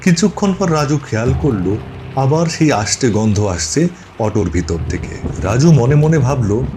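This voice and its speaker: A man's voice: medium pitch, sing-song, normal speed, noisy background. A man reading a scripted ghost story as its narrator.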